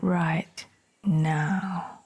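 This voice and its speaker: Very slow, soft-voiced woman guiding meditation. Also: low voice, steady tone. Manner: soft, soothing, breathy with long pauses. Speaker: meditation guide. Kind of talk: guided meditation narration. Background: birdsong.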